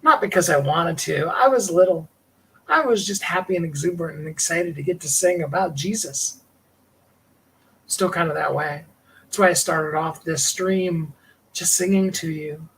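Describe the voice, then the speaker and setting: Higher-voiced man musing on a livestream. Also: normal speed, a bit bright, slightly rough, poor audio. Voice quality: higher-pitched tenor voice. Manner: rambling and heartfelt, with frequent "you know" and small laughs. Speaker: livestream host and singer. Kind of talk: reflective personal livestream talk.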